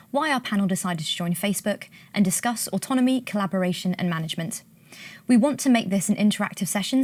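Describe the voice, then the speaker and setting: A woman hosts a live panel at a quick clip, her voice pitched in an ordinary middle range. She reads her introductions and questions with an upbeat, businesslike energy. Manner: upbeat, brisk, scripted introductions. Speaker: host, sourcing lead.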